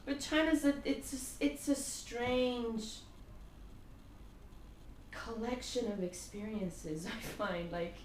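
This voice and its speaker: A woman's voice: on the high side, takes it slowly, long pauses. A woman in her thirties from Kuwait with Arabic as her first language, chatting as a podcast guest, telling stories with lots of fillers and frequent laughs. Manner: animated storyteller, laughs often, many fillers. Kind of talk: casual conversation. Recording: echoey room with faint noise.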